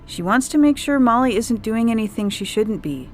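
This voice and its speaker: Woman voicing an earnest young girl, lifted pitch, music behind. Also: animated, normal speed, close to an everyday voice. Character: young girl witness, earnest, recounting alien visitors. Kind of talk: dramatised short story reading. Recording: music bed under the reading.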